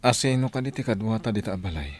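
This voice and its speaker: A man's voice: lowish voice, lively intonation, rapid-fire, darkish tone, close to an everyday voice. A man with an Ilocano Filipino accent playing a bewildered, injured amnesiac in a radio drama. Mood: confused.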